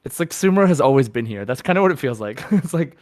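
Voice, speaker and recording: A man co-hosting a podcast, chatting casually about a video game in a halting, repetitive way as he searches for words. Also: mid-range voice, animated, a little mellow, no room sound, studio quality. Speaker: podcast co-host.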